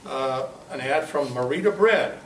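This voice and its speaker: A man giving an informal, halting, jokey show-and-tell talk to a club meeting, in a folksy way. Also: mid-range voice, expressive, a bit bright. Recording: echoey room, distant mic, clear background noise.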